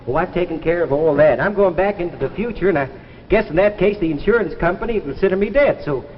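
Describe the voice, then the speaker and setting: An actor playing a meek but giddy, earnest time traveller in a 1950s radio drama, with a folksy, emotive delivery. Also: male voice, high voice, quick pace, a little hiss or hum, lightly acted. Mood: giddy, defiant. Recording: dull vintage radio recording with music.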